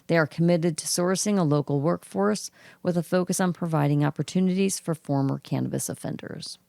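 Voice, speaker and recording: A woman's voice: on the low side, fairly quick, no room sound. A woman podcast co-host with a froggy voice, reading cannabis news aloud in a casual way. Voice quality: froggy, gravelly voice. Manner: reads news items aloud at an even pace, with throat clearing.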